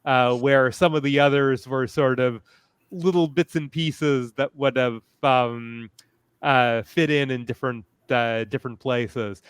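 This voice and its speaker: A man speaking as a panelist in a fan discussion, hesitant and thoughtful, often halting as he phrases things. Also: mid-range voice, steady tone, clear, resonant tone, no room sound.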